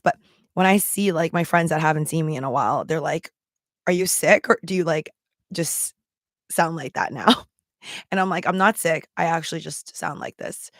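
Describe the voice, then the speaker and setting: Raspy-voiced woman chatting to camera. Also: deep voice, no echo, studio quality. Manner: rambling, with many ums and likes; talking around a throat candy. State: strained, worn voice. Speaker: livestream seller and vlogger. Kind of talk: casual chat to camera.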